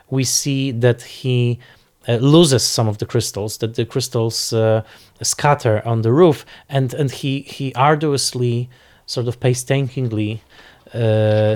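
A man speaking off the cuff as a film critic in an interview, analysing in a deliberate, emphatic way and searching for precise words. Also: medium pitch. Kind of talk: unscripted analytical interview commentary.

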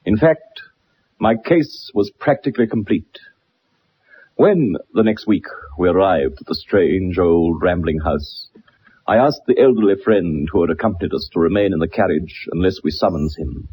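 An actor playing a keen, self-assured gentleman detective with precise, formal speech in a 1960s radio drama. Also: low voice, animated, moderate pace, a little hiss or hum. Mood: measured, narrating. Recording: muffled old radio recording.

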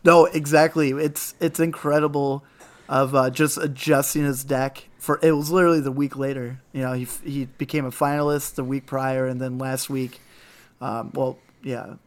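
Hoarse, flat-toned male co-host. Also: mid-range voice, normal speed. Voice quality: raspy. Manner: rambling, many fillers. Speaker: podcast co-host. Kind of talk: casual card game podcast talk.

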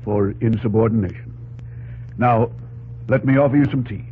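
A man acts a dignified, high-minded statesman in an old-time radio play. He speaks formally and earnestly at a medium pitch, on a dull recording with faint hum. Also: moderate pace, lightly acted. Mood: stately. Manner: oratorical, earnest. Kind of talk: old radio drama acting.

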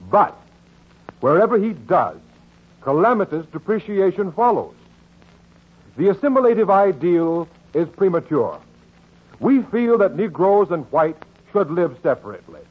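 A condescending editorial writer proclaiming in old radio style. His voice is fairly high, husky and very animated, on a tinny 1940s recording. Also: a little slow, exaggerated voice. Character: emphatic, condescending newspaper editorialist favouring segregation.

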